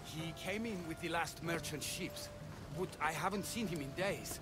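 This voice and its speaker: Man as high-pitched, exasperated Greek village leader. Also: normal speed, music in the background, lightly acted. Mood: urgent. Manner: blunt, sardonic. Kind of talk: video game cutscene acting.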